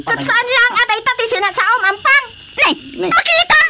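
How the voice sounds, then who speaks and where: A quarrelsome Filipino village woman voiced by an actress in a radio drama, scolding in an extremely high voice. The recording is very noisy. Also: animated, moderate pace. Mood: indignant, scolding.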